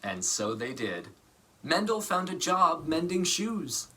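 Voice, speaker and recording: Expressive male storyteller with lively, varied pitch. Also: a little hiss or hum. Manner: measured, dramatic phrasing with pauses for emphasis. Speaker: storybook reader. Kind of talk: expressive scripted storytelling for children.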